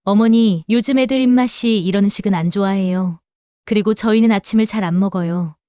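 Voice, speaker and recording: A woman's voice: medium pitch, fairly quick, warm tone, dry recording, clean studio sound, lightly acted. A woman playing a busy middle-aged daughter-in-law in an audio drama, polite but matter-of-fact. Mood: politely dismissive. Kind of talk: Korean audio drama acting.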